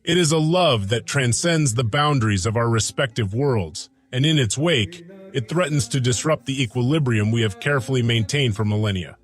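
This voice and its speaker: A man's voice: medium pitch, lightly acted. Man acting as a solemn, lovestruck god over music. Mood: brooding. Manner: grave, formal, measured with weighty pauses. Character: lovestruck, brooding Anunnaki god, solemn and defiant. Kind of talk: dramatic first-person audiodrama monologue. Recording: music behind the voice.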